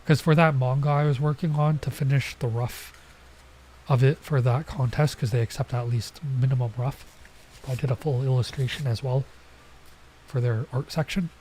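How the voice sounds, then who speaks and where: A man's voice: medium pitch, fairly even intonation, moderate pace, darkish tone, a little hiss or hum, poor audio. A man playing a visual novel and chatting casually, his voice nasal and stuffed up as he comes down with a cold. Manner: rambling, chatty asides. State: coming down with a cold. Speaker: streamer. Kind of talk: casual let's play commentary.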